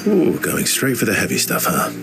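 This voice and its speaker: A mysterious, gently playful man in a video game, with a deep voice that swings expressively in pitch. He banters hesitantly and self-deprecatingly in a natural cutscene style, with music clearly behind him. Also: wildly swooping pitch, moderate pace, full character voice. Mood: calm, playful. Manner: hesitant, bantering, mock-offended.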